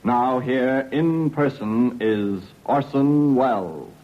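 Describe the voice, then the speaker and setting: A man's voice: mid-range voice, expressive, slow pace. A man announcing formally on an old radio programme, in a vintage broadcast style. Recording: muffled old radio recording. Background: music.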